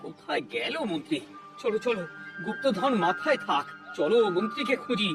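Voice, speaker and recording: A fretful king in a comic cartoon, acted by a man, pitched high and swinging wildly up and down. He laments and exclaims dramatically, with music playing behind him. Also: very animated, fairly quick. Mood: anxious, insistent. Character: fretful, dramatic king searching for his minister. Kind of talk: Bengali cartoon voice acting.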